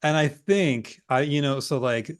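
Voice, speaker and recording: A man's voice: a little high, a little slow, clean tone. A man around forty, a guest on a podcast, talking about his work in an earnest, upbeat and encouraging way. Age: 40s. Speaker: guest, editor and agency owner. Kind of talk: casual interview talk.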